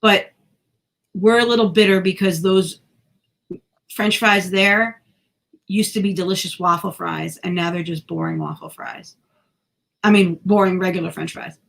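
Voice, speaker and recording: A woman's voice: fairly low pitch, fairly even intonation, a little slow, vocal fry, pauses often, fairly bright tone. A woman co-hosting a podcast in casual chat, talkative and self-deprecating, sometimes tripping over her words. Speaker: podcast co-host.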